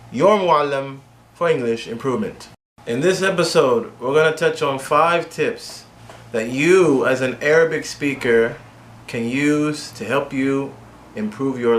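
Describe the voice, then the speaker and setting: A man's voice: mid-range voice, a bit bright. A man gives an English lesson in a plain middle register, pressing his points and checking in with "okay?" as he goes. His voice bounces around a roomy, echoey space with a faint hum of ambience behind it. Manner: direct, repetitive coaching with frequent "okay?" checks. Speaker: English teacher. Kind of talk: instructional video lesson.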